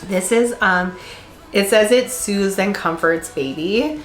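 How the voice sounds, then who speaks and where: A woman's voice: mid-range voice, takes it slowly. A congested woman vlogger chatting casually, laughing often and filling her speech with fillers. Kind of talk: casual chat. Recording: echoey room.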